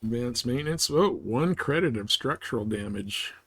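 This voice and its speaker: A man's voice: mid-range voice, lively intonation, normal speed, fairly bright tone, slightly rough, no room sound. A man giving casual live commentary on a game, chatting and thinking aloud. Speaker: game streamer.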